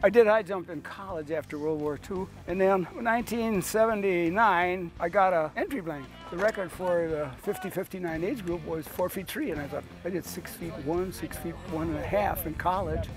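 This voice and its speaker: A man in his eighties, a veteran high jumper, telling his story in a folksy, challenging way. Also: moderate pace, hardly any pauses. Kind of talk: documentary interview. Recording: interview under background music.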